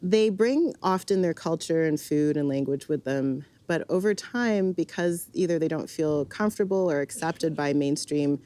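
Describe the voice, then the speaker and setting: A woman speaking thoughtfully in a documentary interview, pausing with fillers and restarting her sentences. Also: low-pitched, mellow. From Canada, Vancouver. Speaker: interviewee, community organizer.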